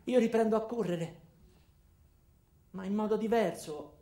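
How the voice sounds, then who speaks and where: A man narrating a first-person comic monologue as an actor, in a deadpan, terse manner. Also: high-pitched, unhurried, roomy sound.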